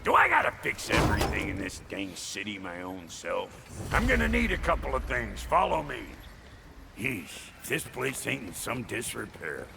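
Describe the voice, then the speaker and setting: Gravelly, wildly animated dwarf blacksmith. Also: male voice, medium pitch, moderate pace, noisy background, full character voice. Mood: exasperated. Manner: folksy, brash, colorful grumbling. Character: gruff, foul-mouthed, excitable male dwarf blacksmith. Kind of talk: video game cutscene acting.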